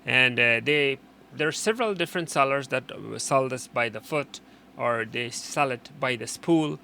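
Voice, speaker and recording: A man presenting an unscripted technical how-to, explaining in a halting way and often repeating and rephrasing his instructions. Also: medium pitch, vocal fry, bright, forceful tone, a little hiss or hum. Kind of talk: unscripted hands-on tutorial explanation.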